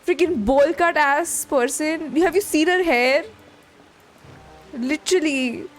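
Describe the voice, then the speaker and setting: A young woman reacts live as a game streamer. Her voice is high and her pace slow and halting. She talks informally and emotionally, with faint game music behind her.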